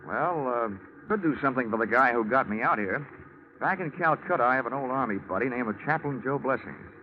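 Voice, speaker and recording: A man's voice: mid-range voice, animated, fairly quick, a little hiss or hum, lightly acted. An actor playing a wisecracking, laconic American insurance investigator narrating his case in 1940s radio detective style. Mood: dry, casual. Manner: wry, laconic, hard-boiled. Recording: muffled vintage radio recording with music.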